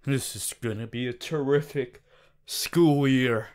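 A man voicing a boisterous, cheery young superhero student in an audio roleplay, halting at times and theatrically heroic. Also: smooth, close to an everyday voice. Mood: earnest, upbeat. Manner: halting, theatrical, breaks into booming heroic declarations. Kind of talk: ASMR audio roleplay to a listener.

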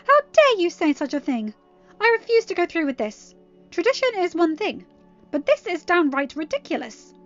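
A woman playing a haughty young royal lady in a story, melodramatic and indignant, speaking with shrill, loud emphasis. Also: lively intonation, unhurried. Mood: outraged. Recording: muffled lo-fi audio with music.

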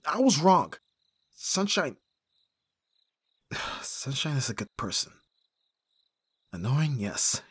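A man's voice: medium pitch, fairly bright tone, husky, no room sound, heavily acted. A man playing an abrasive but devoted bodyguard in an audio roleplay, intense and given to sighing and swearing. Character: abrasive male bodyguard, desperate and devoted to his client.

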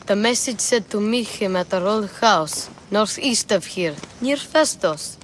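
A woman voicing a curious, cheeky young boy in a video game, excitable and boastful. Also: medium pitch, a little slow, slight background noise, natural voice, poor audio. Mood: chatty.

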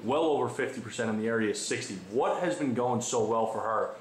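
A man's voice: medium pitch, a little fast, slight background noise. A man asking questions as a sports interviewer after a game. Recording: echoey room.

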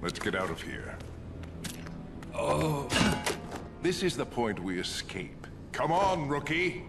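An actor playing a smug, arrogant bald male criminal mastermind with a deep, sneering voice. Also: very animated, takes it slowly, exaggerated voice. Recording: game audio over loud music.

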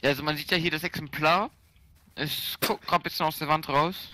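Bright-voiced young gamer explaining. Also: male voice, on the high side, fairly even intonation, a little fast, no echo. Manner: instructive, teasing, impatient. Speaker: co-player explaining the game. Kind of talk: casual gaming chat.

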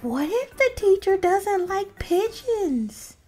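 A woman's voice: very high-pitched, very animated, slow, a little mellow, soft background music, heavily acted. A woman voicing an anxious, dramatic, childish cartoon pigeon in a whiny, exclamatory way for a storybook read-aloud. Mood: panicked, fretful. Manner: exclamatory, fretful, theatrical.

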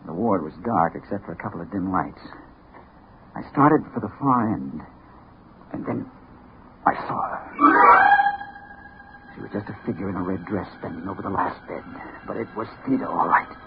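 An American actor playing a dogged, hard-boiled newspaper reporter in a 1950s radio crime drama, with punchy, urgent delivery. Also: male voice, low voice, lively intonation, moderate pace, pauses often. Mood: tense, grim. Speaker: actor narrating and playing the lead. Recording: tinny, noisy 1950s radio recording.

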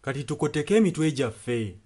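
A man's voice: on the high side, lively intonation, normal speed, over a noisy crowd. A man interpreting a sermon, following the preacher phrase by phrase. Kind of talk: spoken and sung translation of a sermon.

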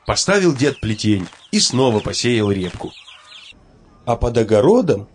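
A man's voice: medium pitch, very animated, measured pace, a little hiss or hum. A man narrating a children's cartoon in a storybook style.

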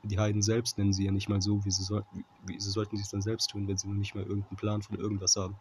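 A man giving an informal Bible commentary in a halting manner, often checking in with a short tag question, on a noisy amateur recording. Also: lowish voice, completely flat delivery, darkish tone. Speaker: Bible teacher.

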